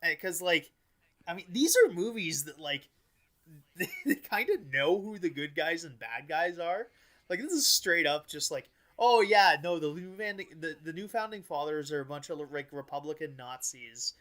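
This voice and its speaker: A man speaks in a bright, forceful voice pitched a little high. He co-hosts a casual podcast, rambling in stops and starts and leaning heavily on filler words. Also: animated, moderate pace. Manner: rambling, filler-heavy, stop-and-start delivery. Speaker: podcast co-host.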